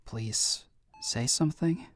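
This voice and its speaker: A man playing a guilty, devoted brother and werewolf clan second in an audio roleplay drama, earnest and halting as he speaks. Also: mid-range voice. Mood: pleading, earnest.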